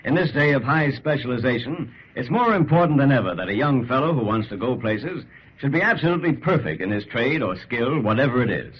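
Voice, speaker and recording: An actor playing an enthusiastic sports reporter who narrates in an earnest, punchy old-time radio style with an American accent. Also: male voice, medium pitch, lively intonation, brisk, close to an everyday voice. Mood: earnest pitching. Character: enthusiastic male sports reporter, the ballplayer's pal. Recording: muffled, hissy old radio recording.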